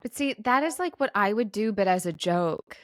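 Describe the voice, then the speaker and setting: A woman's voice: mid-range voice, expressive, moderate pace, no echo, clean studio sound. A woman co-hosting a podcast in casual comedic conversation, with a playful, wry way of talking. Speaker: podcast co-host.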